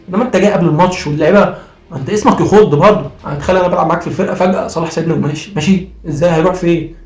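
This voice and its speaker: An Egyptian man talks football at breakneck speed in a fairly high voice that sounds strained and worn from cheering. He argues emphatically and repeats his points like a fired-up fan. The room is noticeably echoey. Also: darkish tone. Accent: Egyptian Arabic. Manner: emphatic, argumentative, repeats phrases. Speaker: football vlogger. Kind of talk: impassioned post-match fan commentary. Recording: roomy echo, faint music behind.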